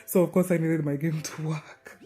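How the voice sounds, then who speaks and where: A woman with a Kenyan accent, a gaming YouTuber giving a casual, rambling voiceover with soft laughs and hesitations. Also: low-pitched, fairly even intonation, normal speed, faint music behind. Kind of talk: casual gameplay voiceover commentary.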